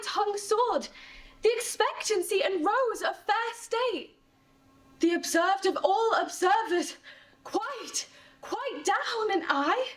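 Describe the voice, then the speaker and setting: A woman performing a dejected young noblewoman's Shakespearean lament, halting and sorrowful, recorded at a distance from the microphone. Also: extremely high pitch. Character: dejected, wretched young noblewoman mourning a fallen mind.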